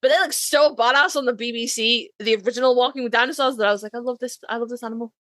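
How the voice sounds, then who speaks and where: A woman's voice: on the high side, fairly even intonation, racing, a bit bright, no room sound. A woman bantering casually as a podcast co-host, emphatic, geeky and enthusiastic.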